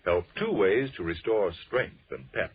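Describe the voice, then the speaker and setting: A man announcing in 1940s radio style, formal, emphatic and measured. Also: lowish voice, very animated, breathy edge. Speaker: radio announcer and sponsor pitchman. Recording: muffled old radio recording.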